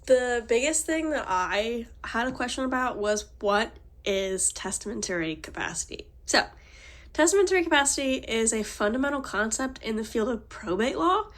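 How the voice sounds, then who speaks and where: Woman with a cold, slightly nasal. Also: on the high side. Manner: reads formal text with small slips and restarts. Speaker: student presenter. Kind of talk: reading a prepared class presentation.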